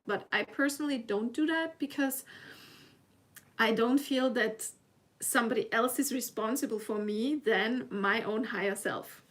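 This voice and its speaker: Woman, German native speaker with an accent, fairly high voice, relaxed live stream host who reads out the chat. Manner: chatty, self-laughing, reads chat aloud.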